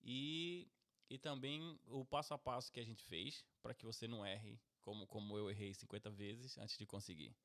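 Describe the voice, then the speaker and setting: A man's voice: lowish voice, fairly even intonation, normal speed, fairly bright tone. Chatty middle-aged Pernambucan man. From Brazil, Pernambuco. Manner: chatty, keeps tagging "certo?" and "beleza?". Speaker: podcast host, IT professional. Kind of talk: casual podcast chat.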